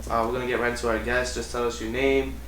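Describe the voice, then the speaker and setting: A man co-hosting a casual podcast, relaxed and asking follow-up questions. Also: mid-range voice, fast talker, distant mic. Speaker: podcast co-host. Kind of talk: casual chat. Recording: echoey room with faint noise.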